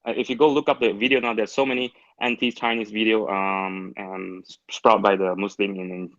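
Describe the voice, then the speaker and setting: A man from Malaysia, speaking English as a second language, answers as a guest in casual discussion, blunt and halting with restarts. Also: on the low side, little pitch movement, a bit bright, no room sound, muffled recording. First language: not English.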